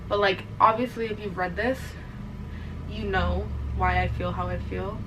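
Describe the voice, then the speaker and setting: A woman's voice: medium pitch, steady tone, measured pace, dull audio. Congested, teary young woman vlogging. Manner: emotional, rambling, swears, says "like" and "literally" often. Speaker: reading vlogger. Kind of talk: casual vlog chat to camera. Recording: distant mic with clear background noise.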